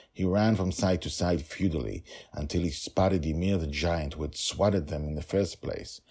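A man with a husky, rough-edged voice narrating his own fantasy story as a podcast host, with dramatic emphasis and deliberate pauses. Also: a bass voice, darkish tone.

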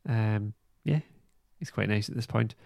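A man hosting a podcast, giving a casual recap in an informal, halting way. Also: on the low side, gravelly, a little mellow, no room sound, studio quality. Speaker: podcast host. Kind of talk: casual plot recap and review.

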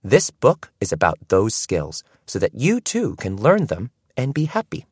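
A man reading a first-person audiobook in an expressive, storytelling way. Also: on the low side, measured pace, breathy edge, no echo, clean studio sound. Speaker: audiobook narrator.

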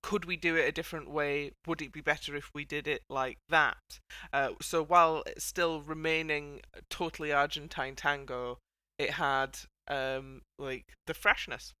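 A woman's voice: low voice, fairly even intonation, moderate pace, sharp tone, clear, resonant tone, no room sound. A woman hosting a casual chat show, commenting in a wry, wordy, mock-dramatic way. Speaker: podcast host.

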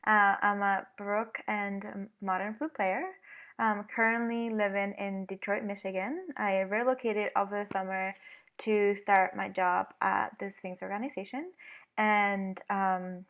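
A young woman from Venezuela talks through her answers slowly, filling gaps with ums. Her voice has a gravelly fry to it. The sound is narrow and tinny, like a video call. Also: medium pitch, flat delivery. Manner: hesitant, many ums, soft-spoken. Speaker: guest, flute player. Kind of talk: casual interview answers.

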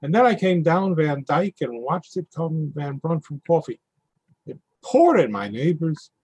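Husky man tracing floodwater street by street. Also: a little high, sing-song, a little slow, darkish tone, no room sound. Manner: detailed street-by-street account. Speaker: reader performing a monologue. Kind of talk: readers theatre monologue.

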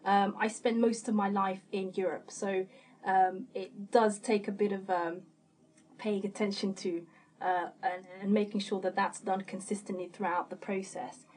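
A woman's voice: medium pitch, flat delivery, measured pace, a little mellow. Thoughtful woman translator, Somalia-born. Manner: thoughtful, many fillers. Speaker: panelist, translator.

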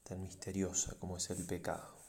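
A man, a priest leading a guided meditation in a solemn, measured way with pauses between short phrases. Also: low-pitched, monotone, darkish tone, a little hiss or hum. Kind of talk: slow reflective guided meditation.

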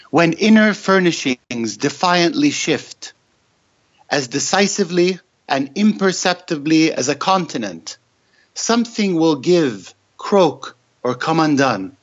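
Reflective man, slightly high, lo-fi audio. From Egyptian-Lebanese family. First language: Arabic. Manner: measured, reflective, some hesitant restarts. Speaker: poet, interview guest. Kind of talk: poetry reading, then conversational answers. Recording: dull lo-fi audio.

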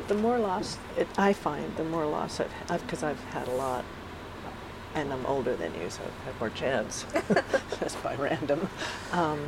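A woman talking gently and reassuringly in a casual, heartfelt conversation. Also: deep voice, expressive, a little slow, long pauses. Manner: gentle, reassuring, laughs softly. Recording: loud vehicle road noise.

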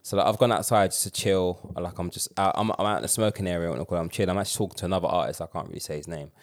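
Fast-talking London man telling stories. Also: sits low. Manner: animated, rapid storytelling with slang and restarts. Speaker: podcast co-host. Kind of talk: casual storytelling chat.

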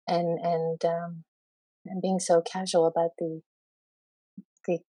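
A woman guest on a panel talks in a somewhat low, even voice with little rise and fall. She hesitates often and restarts her phrases. The sound is dry and close. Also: dry recording, low-quality recording. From grew up in Saudi Arabia and the US. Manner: hesitant, many fillers and restarts. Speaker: guest poet. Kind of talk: panel discussion.

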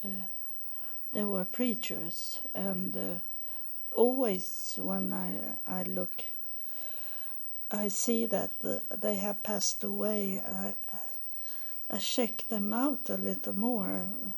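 An older woman, a native Swedish speaker, talking in halting English with many pauses and fillers as she searches for words. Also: medium pitch, fairly even intonation, gravelly, mellow, husky. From Sweden. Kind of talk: casual spiritual monologue.

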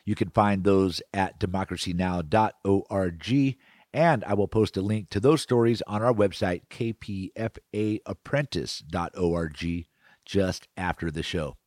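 A man's voice: deep voice, unhurried, a little mellow, no echo, studio quality. An American man hosting a radio magazine, presenting in a semi-scripted way. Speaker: radio show host.

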